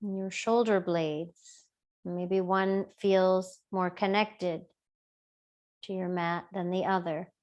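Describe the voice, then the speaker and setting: A woman teacher gently guiding a body scan meditation in an unhurried, soothing way with long pauses. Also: on the low side, steady tone. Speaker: yoga and body awareness teacher.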